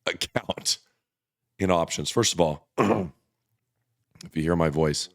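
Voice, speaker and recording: Brief: slightly hoarse male YouTuber, low voice, blunt casual explainer, dry close mic. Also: takes it slowly, no echo. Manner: blunt, conversational, frequent restarts. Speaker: options trading YouTuber. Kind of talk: casual direct-to-camera explainer.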